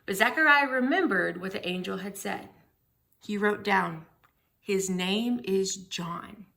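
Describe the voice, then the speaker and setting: Bright, creaky-edged woman reading to kids. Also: mid-range voice, slow pace. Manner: simple explanatory phrasing with questions to young listeners. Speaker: children's ministry director reading a Bible story to kids. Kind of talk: storytime read-aloud and talk addressed to young children.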